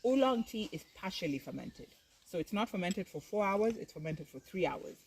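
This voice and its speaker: A tea farm guide outdoors, explaining tea processing in an informative, unhurried way. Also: medium pitch, expressive, a little hiss or hum, poor audio. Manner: informative, unhurried, uses fillers.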